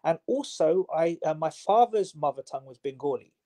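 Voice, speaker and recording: A man's voice: fairly high pitch, expressive, normal speed, dark tone. A man, a personal trainer, answering interview questions in a chatty way, with many fillers and restarts. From Southwest London.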